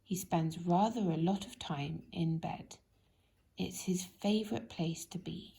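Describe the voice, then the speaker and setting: A woman reading a children's bedtime story expressively, in a gentle, drawn-out way that drops into hushed tones. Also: lowish voice, gravelly. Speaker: storybook reader.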